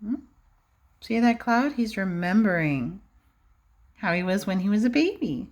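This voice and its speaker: Female preschool teacher for storytime read-aloud: unhurried, highly expressive, questioning and instructive. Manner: expressive, slow and instructive, often checking with rhetorical questions. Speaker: early-years classroom teacher reading a picture book aloud. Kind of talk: animated read-aloud for young children, with questions and asides.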